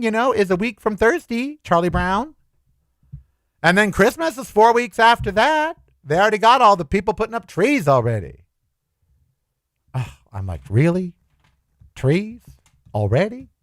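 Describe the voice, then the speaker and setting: A man hosting a solo podcast, talking in a chatty, anxious, animated way. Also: sits high, measured pace, long pauses, no room sound, studio quality. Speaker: podcast host. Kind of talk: casual solo podcast monologue.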